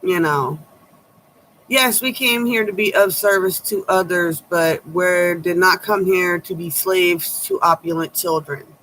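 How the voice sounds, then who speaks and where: A woman tarot reader with a low voice, giving blunt, sharp-tongued advice in a casual chat to her viewers. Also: a little slow, slight background noise, rough recording.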